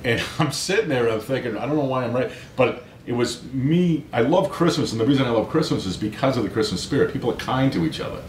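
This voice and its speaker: A man's voice: expressive, fast, hardly any pauses. A man talking as an interview guest, earnest and emphatic, often checking in with a questioning tag. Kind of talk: conversational interview. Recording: roomy echo, low rumbling background noise.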